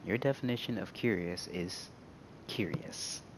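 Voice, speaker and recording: A woman's voice: rumbling low, a little slow, a little hiss or hum. A woman giving casual, playful reaction commentary on a game in short wry asides. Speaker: gaming streamer. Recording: game music behind voice. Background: game audio and music.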